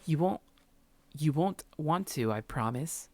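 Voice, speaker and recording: Visual novel role: possessively sweet young woman played by a man, high-pitched, soothing and coaxing. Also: animated, takes it slowly, no echo. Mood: pleading, possessive. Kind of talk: visual novel character read-aloud.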